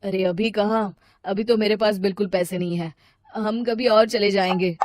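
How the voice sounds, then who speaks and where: A woman's voice: mid-range voice, steady tone, normal speed, a little mellow, no echo, studio quality, faint music behind, lightly acted. A woman voicing a harried, dutiful working man who is apologetic and placating, in a cartoon moral story.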